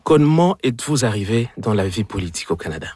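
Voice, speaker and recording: Male interviewer with a rough-edged, husky tone and dry sound, delivering formal, carefully worded questions. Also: lowish voice, sing-song, fast talker, darkish tone, no room sound.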